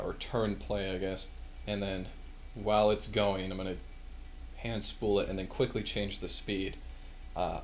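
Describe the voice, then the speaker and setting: A man with a fairly low voice talks through a hands-on demonstration of vintage equipment. He is casual and unscripted, with a wry, self-deprecating manner. A faint layer of noise sits behind his voice.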